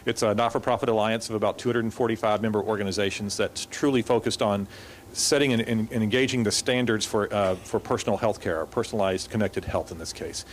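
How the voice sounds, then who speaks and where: Male panel moderator, low voice, quick delivery full of fillers. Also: steady tone, a little hiss or hum. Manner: rapid, with many fillers and restarts. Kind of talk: conference moderation. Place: conference hall.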